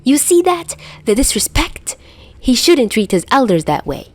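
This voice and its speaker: A woman's voice: on the high side, sing-song, moderate pace, a little mellow, voice pushed up. A woman voicing a teasing young man in a story, mock-parental and overdramatic in manner. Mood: dramatic complaint.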